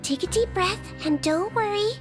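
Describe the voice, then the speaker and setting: A small, caring nurse from an anime game. Her voice is extremely high, sweet and girlish. She speaks calmly and precisely to reassure people, with music playing behind her. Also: moderate pace. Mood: reassuring, professional. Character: gentle, caring young girl nurse, small nonhuman. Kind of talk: anime video game voice acting. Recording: background music.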